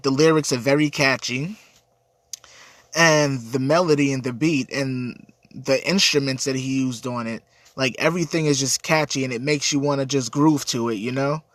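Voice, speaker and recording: A man hosts a casual livestream with a stuffy, congested voice, since he is under the weather with a cold. He talks earnestly and rambles, repeating words as he answers viewers. His pitch sits in an ordinary middle range, and the sound is close and dry with no room echo. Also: fairly bright tone. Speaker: livestream host. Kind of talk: casual livestream chat reading viewer comments.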